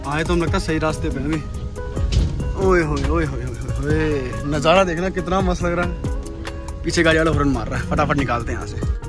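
A young man, chatty and excited, narrating a travel vlog while driving mountain roads. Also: a little high, a little fast. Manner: excited, chatty, calls viewers brother. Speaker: travel vlogger. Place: inside a car on mountain roads. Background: background music.

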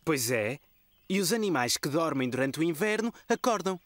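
Lively-voiced cartoon dad, European Portuguese. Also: male voice, fairly high pitch, normal speed, no echo. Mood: playful, encouraging. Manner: explaining simply to a child. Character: patient, gently playful young father. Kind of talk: children's cartoon dub.

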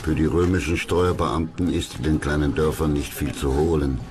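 Very deep-voiced male documentary narrator. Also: steady tone. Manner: measured, factual. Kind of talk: scripted history documentary narration. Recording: clear music under the voice.

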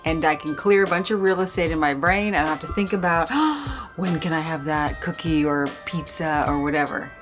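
A woman's voice: low-pitched, lively intonation, brisk, few pauses, over music. A middle-aged woman chatting casually and laughing at herself while filming in a boat's galley. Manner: laughing, chatty, self-mocking. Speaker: sailing vlogger. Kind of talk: casual vlog chat. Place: boat galley, indoors.

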